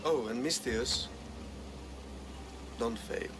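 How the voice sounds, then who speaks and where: A man voicing a sly, calculating patron in a cinematic video game, measured and cryptic in how he talks. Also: medium pitch, background noise. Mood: commanding. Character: sly, calculating male patron with shady errands. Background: background music.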